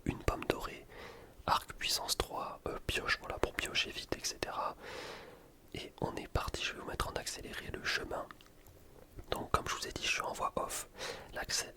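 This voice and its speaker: A man commentating on gameplay in a breathy, unvoiced ASMR whisper, informal and explanatory. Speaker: gaming video creator. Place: voice-over recorded separately from gameplay.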